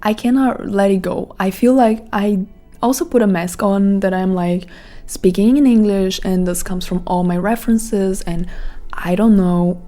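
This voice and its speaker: Brazilian woman with a mellow voice musing aloud. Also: medium pitch, fairly even intonation, unhurried, soft background music. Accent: Brazilian Portuguese. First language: Portuguese. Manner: reflective, rambling with many "like" fillers. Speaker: podcast host. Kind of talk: casual monologue.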